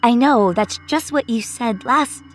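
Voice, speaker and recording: A woman's voice: fairly high pitch, a little slow, soft background music, voice pushed up. A woman playing an agitated, sarcastic young female tenant who demands answers in an emphatic, exasperated way in an audio horror story. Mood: pleading.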